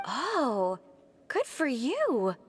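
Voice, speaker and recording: A woman's voice: sits high, wildly swooping pitch, very slow, slight background noise, full character voice. A woman voicing an aloof, casually offhand young woman in a video game. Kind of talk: scripted game dialogue.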